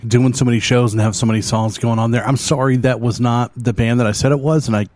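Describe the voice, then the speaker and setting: A man's voice: medium pitch, steady tone, fast, darkish tone, no room sound. A man with a Southern American accent hosting a metal radio show, chatting loosely with lots of ums and uhs and self-deprecating asides from a small home studio. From Oklahoma, United States. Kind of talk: casual rambling radio chat.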